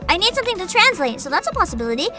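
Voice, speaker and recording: A woman hosting a livestream, chatting casually with viewers in an excitable, bubbly, giggly manner. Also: extremely high pitch, very fast, over loud music. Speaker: livestreamer host.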